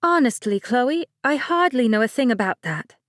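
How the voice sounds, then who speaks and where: A woman's voice: on the high side, animated, normal speed, no room sound, clean studio sound, pitched above the performer's own voice. A woman voicing a bubbly, well-off young bride who teases and gushes. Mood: teasing. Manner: gushing, teasing, bright.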